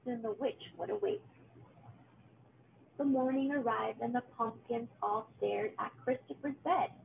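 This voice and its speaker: A woman reading a children's storybook aloud in an expressive way, recorded on a computer's webcam microphone. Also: a little high, a little slow, gravelly. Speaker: storybook reader, teacher. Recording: thin phone-like audio, faint noise.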